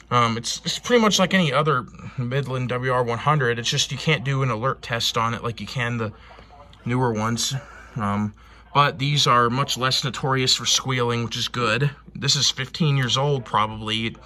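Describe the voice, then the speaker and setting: A man's voice: mid-range voice, sharp tone. Casual, rambling man showing a collection. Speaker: hobbyist collector presenting weather radios. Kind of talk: casual unscripted show-and-tell.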